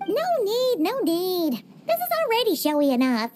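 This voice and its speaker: A woman's voice: extremely high pitch, wildly swooping pitch, moderate pace, a little mellow, full character voice. A woman voicing a tiny, chatty and blunt female fairy companion who teases and talks about herself in the third person. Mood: chatty, teasing.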